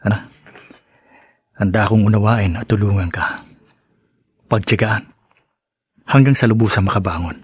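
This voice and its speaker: A man playing a sincere, gentle and self-deprecating young man in an audio drama, speaking haltingly and from the heart. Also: fairly low pitch, slightly rough, dry recording. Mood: gentle, reassuring. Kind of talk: melodramatic radio-style drama acting.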